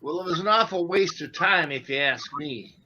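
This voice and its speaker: A man's voice: a little high, animated, normal speed, pushed and bright, muffled recording, full character voice. A man playing a blunt, gullible, anxious gangster henchman in a 1940s-style radio play, slangy and eager to please. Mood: deferential, blunt.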